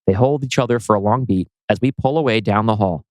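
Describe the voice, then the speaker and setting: A man's voice: lowish voice, quick pace, a little mellow, no echo. A man reading scripted screenplay narration as writer and narrator.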